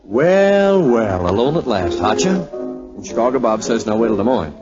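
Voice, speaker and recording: An actor playing an eager, courteous, easily flustered young Air Force captain in a 1940s radio drama. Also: male voice, mid-range voice, big pitch swings, normal speed, darkish tone, close to an everyday voice. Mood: eager, chummy. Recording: dull lo-fi 1940s radio recording, music behind.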